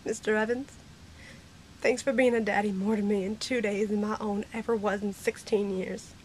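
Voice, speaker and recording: A woman's voice: mid-range voice, steady tone, moderate pace, a little mellow, a little hiss or hum, natural voice. A woman playing a tough, loyal sixteen-year-old girl in an amateur Western audio drama. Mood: tender, grieving.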